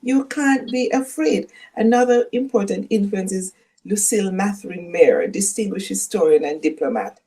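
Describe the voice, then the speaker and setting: An older woman from Jamaica with a Jamaican accent, speaking as a guest professor in a measured, storytelling way that leans on key words. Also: medium pitch, dark tone, husky, rough recording. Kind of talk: reflective interview conversation.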